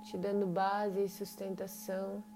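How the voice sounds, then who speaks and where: Slow-paced Brazilian woman guiding meditation. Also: medium pitch, monotone, background noise. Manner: unhurried, long pauses between short phrases. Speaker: guided meditation leader. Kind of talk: guided meditation. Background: meditation music with singing bowls.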